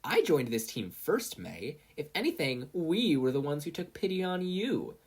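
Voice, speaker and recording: A woman's voice: low voice, sing-song, normal speed, breathy edge, pitched above the performer's own voice, exaggerated voice. A woman voicing a feisty, combative teenage girl trading retorts in a story reading. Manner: combative, teasing.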